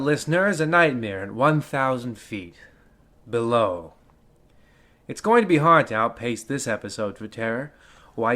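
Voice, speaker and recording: An actor playing a theatrical, mock-ominous host of an old-time horror radio show, delivering ghoulish puns in a deliberate manner. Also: male voice, medium pitch, pushed and bright. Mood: gleefully ominous.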